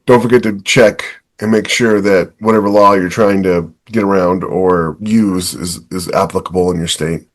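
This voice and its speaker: A man's voice: low-pitched, steady tone, moderate pace, hardly any pauses. A man co-hosting a podcast, speaking in a casual discussion. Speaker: podcast co-host.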